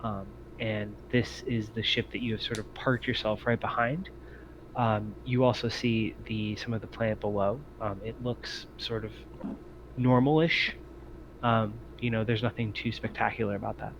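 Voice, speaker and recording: A man's voice: lowish voice, fairly even intonation, normal speed. A man working as a game master, improvising narration for a tabletop game and piecing descriptions together hesitantly. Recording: muffled, faintly noisy home mic.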